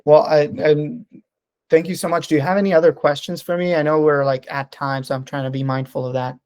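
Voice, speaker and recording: Flat, hesitant man on a video call. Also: on the high side, brisk, smooth, no echo. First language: Hebrew. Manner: hesitant, many ums and restarts. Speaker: sales representative on a business call. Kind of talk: casual business video call. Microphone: computer mic on a video call.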